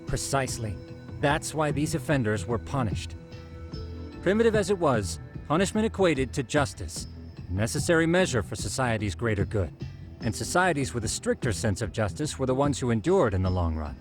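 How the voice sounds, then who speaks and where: A man acting a bookish, earnest game detective delivering a briefing. His mid-pitched voice rises and falls freely as he explains with scholarly precision. Music plays clearly behind him. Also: sing-song, normal speed, natural voice. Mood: earnest, lecturing. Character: nerdy, earnest young male detective lecturing on science. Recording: background music under the dialogue.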